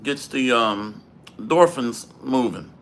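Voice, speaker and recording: Guy preaching slow on a livestream, dry throat, keeps clearing it, punchy voice. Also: medium pitch, animated, fairly bright tone. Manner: slow, measured preaching with Hebrew phrases. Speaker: Bible teacher. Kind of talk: livestreamed Bible lesson. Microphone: livestream microphone.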